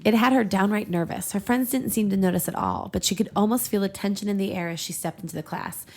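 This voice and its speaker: Female podcast host, fry-edged voice, playful and casual storyteller narrating fiction. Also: mid-range voice, fast, a little mellow, a little hiss or hum. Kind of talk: casual comedic read-aloud narration.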